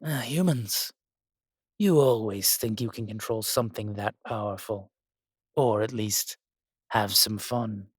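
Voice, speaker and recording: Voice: mid-range voice, a little slow, breathy edge, no room sound, studio quality. An actor playing an ancient, powerful spirit, sardonic, proud and condescending, in an audio roleplay drama.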